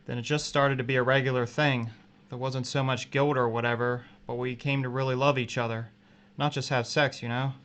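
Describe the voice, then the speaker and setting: A man playing a guilty, frightened coworker in an audio drama, speaking in a halting, emotional way. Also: medium pitch, level tone, a bit bright, lightly acted. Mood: ashamed confessing. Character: guilty, frightened adulterous coworker, pleading then outraged.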